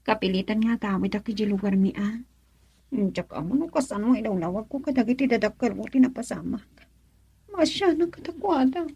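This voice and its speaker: Humble village woman, Filipino accent, slightly high husky voice, worried, radio drama. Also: lively intonation, fairly quick, mellow, dry recording, muffled recording, heavily acted. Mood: subdued, worried.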